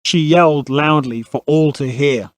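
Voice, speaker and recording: A man's voice: fairly high pitch, unhurried, no echo. Dry, close-recorded male storyteller. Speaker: narrator. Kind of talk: scripted storytelling narration.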